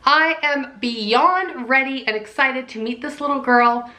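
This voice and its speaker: A young woman chats to her camera in a bubbly, talkative way. Her voice is fairly high and sharp, though a cold leaves it raspy and nasal. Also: measured pace. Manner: bubbly, chatty, repeats "super". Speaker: pregnancy vlogger. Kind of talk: casual chat to camera.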